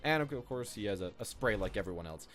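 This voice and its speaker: A man's voice: fairly low pitch, animated, fast talker, a bit bright. A man giving casual, unscripted, rambling commentary as the host of a gaming video. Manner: rambling, informal.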